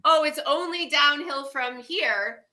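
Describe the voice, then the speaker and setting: A woman's voice: high voice, takes it slowly, pushed and bright. A woman in her fifties co-hosting a wellness livestream, talking in a casual, effusive way and laughing often. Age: 50s.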